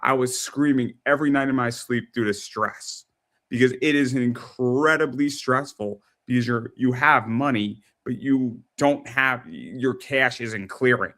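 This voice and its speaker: Excitable man in his thirties, tripping over words. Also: mid-range voice. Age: 30s. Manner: rapid, stumbling repetitions when excited. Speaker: host. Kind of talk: casual interview chat.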